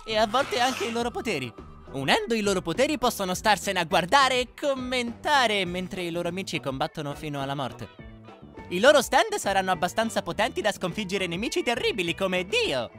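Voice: mid-range voice, very animated, a little fast, fairly bright tone, soft background music. A narrator reading a scripted comedic parody in a sarcastic, emphatic way.

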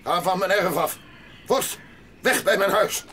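An actor playing a gruff, grumpy old mountain grandfather in a Dutch audio drama, barking and muttering to himself. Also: high voice, a little slow, noisy background. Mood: angry.

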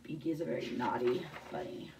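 Middle-aged female teacher for storybook read-aloud, low creaky voice, picked up from a little way off. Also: brisk, far from the mic, background noise. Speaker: teacher reading a picture book aloud.

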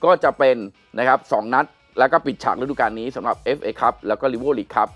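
A man's voice: medium pitch, a little fast, fairly bright tone, no room sound, soft background music. A middle-aged man hosting a conversational football discussion in a TV studio. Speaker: sports show host. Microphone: lapel mic.

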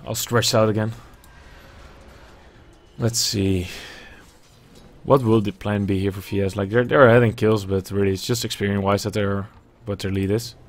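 A young man with a Dutch accent, casting a video game match on his own at home in a loose, chatty way, thinking aloud and swearing now and then. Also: lowish voice. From Netherlands. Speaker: esports caster. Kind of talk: solo play-by-play game commentary. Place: indoors at home. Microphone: earbuds and webcam at a desk.

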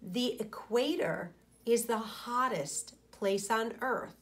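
Slow, deliberate woman teacher reading aloud. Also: fairly high pitch. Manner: deliberate, pausing, clearly phrased. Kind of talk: read-aloud for children, explanatory.

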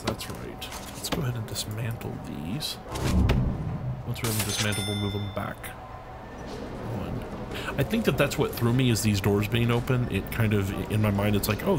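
A man hosting a game let's play, rambling as he thinks aloud and humming to himself, his voice a bit scratchy from being ill. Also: lowish voice. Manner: rambling, thinks aloud, hums filler tunes. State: recovering from illness, a bit scratchy. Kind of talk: casual gameplay commentary.

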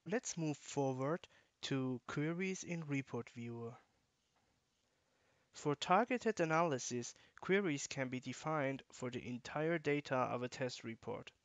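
Measured man narrating a software tutorial. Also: mid-range voice, no room sound. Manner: measured, instructional. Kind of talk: scripted screencast narration.

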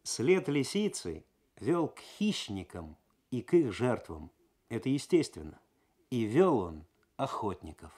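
A man narrates in the manner of a 1970s radio story broadcast. His medium-pitched voice is measured and expressive, telling the tale with dramatic care. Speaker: narrator, actor reading a story.

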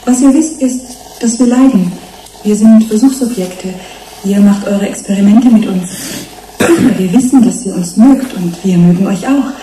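A woman's voice: mid-range voice, normal speed, warm tone, close to an everyday voice. A woman playing a young genetically engineered fish-human, an earnest, resentful and melancholy test subject in a radio play. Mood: accusing. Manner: earnest, accusing. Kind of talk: German radio play acting. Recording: echoey, noisy played-back film recording.

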